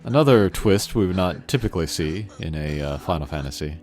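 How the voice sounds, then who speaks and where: A man's voice: sits low, expressive, fairly quick, darkish tone. A man hosting a casual video game playthrough, laid-back and hesitant as he comments. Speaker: gaming channel host. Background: game music.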